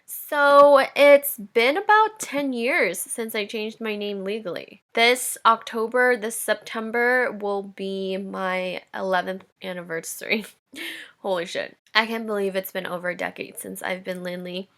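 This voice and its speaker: A woman's voice: medium pitch, unhurried, a bit bright. A woman in her twenties hosting a personal podcast, telling her own story in a candid, reflective way. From Indonesia. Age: 20s. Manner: candid and reflective, with light laughs. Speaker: podcast host.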